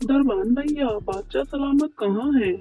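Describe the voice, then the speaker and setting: A man's voice: extremely high pitch, a little slow. A man playing a poor, worried, humble bread baker in an Urdu moral cartoon story, pleading and deferential. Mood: anxious, polite. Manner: pleading, deferential, talks to himself. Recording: muffled lo-fi track with music behind.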